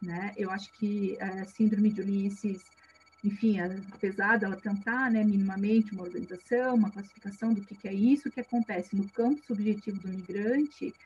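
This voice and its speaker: A woman's voice: mid-range voice, fairly even intonation, normal speed, a little mellow. A woman, a psychologist reflecting aloud in a panel discussion, hesitant and full of tag questions, heard over a video call. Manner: reflective, many hesitations and "né" tags. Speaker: psychologist in a migration project. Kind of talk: thoughtful spoken reply in a panel discussion. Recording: dull lo-fi video call audio with faint hum.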